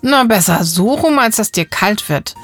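A woman's voice: medium pitch, expressive, normal speed, faint music behind. A woman hosting a children's show, chatting casually with a child in a friendly, caring way. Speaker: host chatting with a child character.

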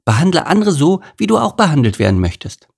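A man voicing a swarm of tiny cheerful bees in high, chirpy, singsong voices for a children's storybook reading. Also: very animated, fast, creaky, a little mellow, husky, full character voice.

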